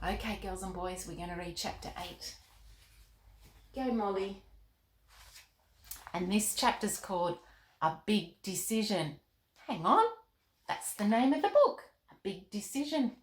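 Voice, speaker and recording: A woman hosting a children's storytime and reading aloud, expressive and speaking directly to young listeners. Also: medium pitch, measured pace, long pauses. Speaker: children's show host and author reading her book. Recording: roomy, echoing indoor sound.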